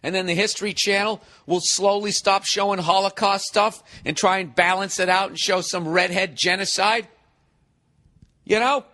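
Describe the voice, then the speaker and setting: A man in his forties hosts a comedy podcast, mocking a listener's email. His voice sits high for a man, and the talk stays sarcastic, exasperated and heavy on profanity. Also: fairly even intonation, moderate pace, sharp tone. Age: 40s. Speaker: podcast host.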